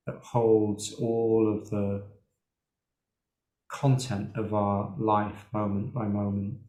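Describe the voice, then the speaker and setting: A man leading a guided meditation as a teacher, speaking in an unhurried way with long pauses. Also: fairly low pitch, a little mellow, a little hiss or hum. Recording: roomy, echoing sound.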